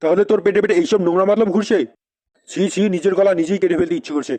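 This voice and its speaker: A man's voice: high-pitched, rapid-fire, mellow. A man voicing outraged, blustering village men in a comedy cartoon, speaking dramatically.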